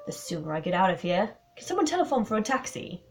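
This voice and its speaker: A woman voicing an angry, scornful young woman, speaking sharply and loudly. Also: mid-range voice, sing-song, quick pace, faint music behind. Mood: furious. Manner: sharp, bitter and loud.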